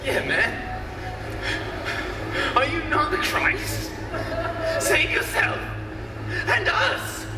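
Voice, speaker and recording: An amateur actor playing a blunt, forceful rough man at a crucifixion in a church Easter drama. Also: very high voice, huge pitch swings, very slow, long pauses, heavily acted. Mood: jeering. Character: rough men at a crucifixion, soldier and jeerers. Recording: distant echoey hall, loud music.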